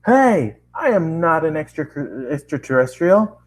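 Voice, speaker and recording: A man playing an indignant, protective young hero who shouts back in a fantasy story. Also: on the high side, sing-song, a little slow, pitched above the performer's own voice.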